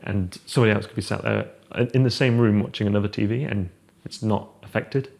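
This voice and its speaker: Young man, low gravelly voice. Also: fast talker. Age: young adult. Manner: relaxed, rambling, many fillers and restarts. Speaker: architect guest. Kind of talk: casual chat, house tour. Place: large open-plan house living room, indoors.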